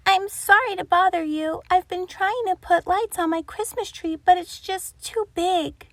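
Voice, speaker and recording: A woman's voice: very high voice, a little slow, fairly bright tone, no echo, voice pushed up, heavily acted. A woman voicing a timid, polite little male mole in a children's storybook, apologetic and hesitant. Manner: stammering, apologetic.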